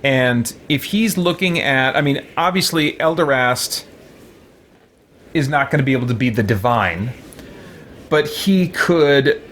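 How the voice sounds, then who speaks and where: A man's voice: mid-range voice, animated, measured pace, a bit bright, slight background noise. A man hosting a gaming video, giving casual roleplay commentary and explaining his choices as he thinks aloud.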